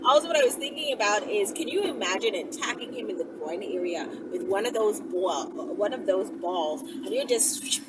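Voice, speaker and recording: A woman vlogger chatting casually to her viewers in an animated, giggly, playful way as she answers their questions. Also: mid-range voice, normal speed, recorded from a distance. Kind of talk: casual vlog chat to viewers. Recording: loud ambient vehicle noise, off the mic.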